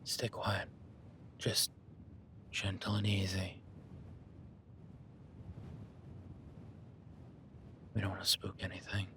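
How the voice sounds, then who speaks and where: A man voicing a rugged, protective cowboy rancher in a listener-addressed audio roleplay, talking in a low-key, calm, instructive way that often drops to a hush. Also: unhurried, very noisy. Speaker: ranch hand tracking a beast.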